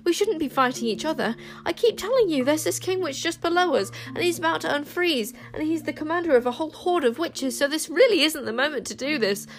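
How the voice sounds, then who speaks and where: A woman's voice: high-pitched, lively intonation, a little fast, hardly any pauses, pitched above the performer's own voice. A woman voicing an earnest, distressed young girl who pleads urgently, in an audiobook story.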